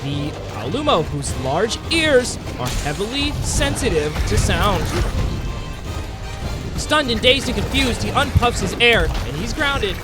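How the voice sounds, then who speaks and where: Male narrator, highly varied intonation, dramatic gameplay storytelling, suspenseful phrasing, music playing behind. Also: very animated, unhurried. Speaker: narrator of a video game roleplay series.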